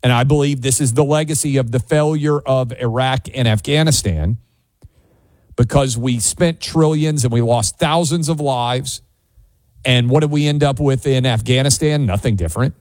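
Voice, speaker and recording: A man's voice: medium pitch, normal speed, darkish tone, no echo. American male talk radio host, dry studio sound. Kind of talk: opinionated call-in radio commentary.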